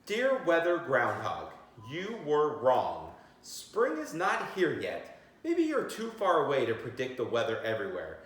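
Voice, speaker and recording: A man voicing an excitable, plaintive storybook rabbit with exclamations. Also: medium pitch, unhurried. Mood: disappointed. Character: excitable, easily disappointed young rabbit. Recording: very echoey, roomy sound.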